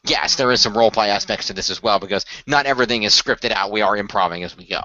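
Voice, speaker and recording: A man with a bright, forceful voice hosts and narrates a fan-made radio play. He speaks casually into a dry, slightly dull home recording. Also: lowish voice, quick pace, no echo. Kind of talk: casual hosting and story narration.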